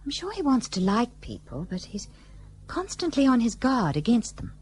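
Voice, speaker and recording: An actress playing a perceptive, polite British colonial wife, genteel and earnest in a period radio play. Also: female voice, on the high side, wildly swooping pitch, moderate pace, darkish tone, slight background noise. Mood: thoughtful.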